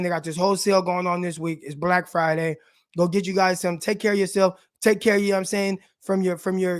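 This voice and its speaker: Sports stream host, male, raspy, slangy and direct, pitched high, close dry mic. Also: no echo. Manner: loose, slangy, addresses viewers directly. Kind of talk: casual live-stream sports talk.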